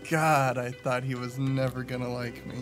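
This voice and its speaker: A man playing a sentimental, grieving detective who is quietly self-deprecating, in an audio drama. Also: mid-range voice, fairly even intonation, moderate pace, over music, lightly acted. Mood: nostalgic.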